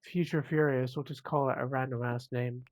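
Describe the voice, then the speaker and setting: A man with a cold, sounding croaky and sniffly, rambling casually as he comments on his own gameplay, with self-mocking asides. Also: medium pitch, steady tone, moderate pace, dry recording. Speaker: gaming channel host.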